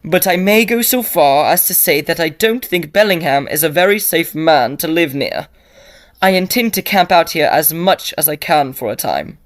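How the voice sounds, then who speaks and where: A woman playing an earnest, anxious young Victorian gentleman student in an audio drama, with formal, old-fashioned phrasing. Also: low-pitched, lively intonation, normal speed. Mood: grave warning.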